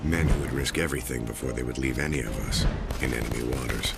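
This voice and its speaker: A man voicing a weary, hardened American Marine veteran with a gravelly voice, giving grave, measured dramatic narration in a war video game. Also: extremely low pitch. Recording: under loud cinematic music.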